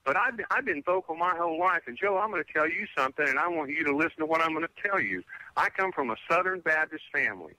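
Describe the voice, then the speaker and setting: A man's voice: a little high, animated, fast, sharp tone, no room sound, rough recording. A man in his sixties calling in to a talk radio show, ranting emphatically and stammering over his words. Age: 60s. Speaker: caller. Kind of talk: phone-in talk radio call.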